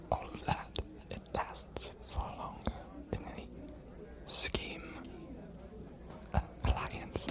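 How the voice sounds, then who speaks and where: A woman voicing a scholarly ancient vampire archivist in an ASMR fantasy roleplay, cryptic and inviting, hushed and deliberate with weighty pauses. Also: low-pitched, gravelly, exaggerated voice.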